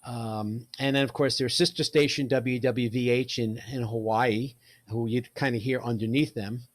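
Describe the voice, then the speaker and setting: An older man from New York City presents casually, as if swapping stories. His talk is chatty and colloquial, in a mid-pitched voice with a dry, close sound. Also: steady tone, normal speed, no echo. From New York City, United States. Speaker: presenter, radio hobbyist.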